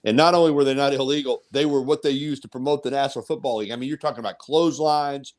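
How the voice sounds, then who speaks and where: A man's voice: medium pitch, steady tone, quick pace, darkish tone. A middle-aged or older man giving conversational sports commentary, emphatic and anecdotal, repeating phrases for effect.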